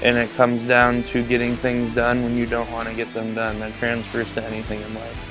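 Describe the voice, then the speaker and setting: Flat, focused young competitor. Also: male voice, mid-range voice, fairly quick, poor audio. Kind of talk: documentary interview. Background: music.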